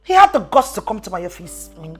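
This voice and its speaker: A busy young career woman, played by a woman, chatty and apologetic toward her friend. Her voice sits at an ordinary middle pitch, and quiet music plays in the background. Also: sing-song, fast, slightly rough. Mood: gossiping. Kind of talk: skit drama acting.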